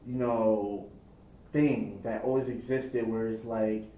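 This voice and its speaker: A man's voice: fairly even intonation, slow, mellow. A young man giving reflective, casual interview answers in loose, run-on thoughts. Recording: echoey room, mic at a distance, faint rumble.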